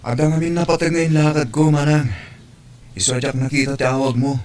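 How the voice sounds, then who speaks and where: A man's voice: fairly high pitch, little pitch movement, a little fast, a little mellow, lightly acted. Generous, dutiful young man for a family audio drama male actor, faintly noisy ambient recording. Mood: mildly weary. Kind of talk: Ilocano radio-style family drama acting.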